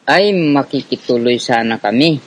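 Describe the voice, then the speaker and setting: A man plays a friendly Filipino rebel comrade in a radio drama. His voice sits at a medium pitch, and he explains things calmly and patiently. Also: moderate pace, natural voice.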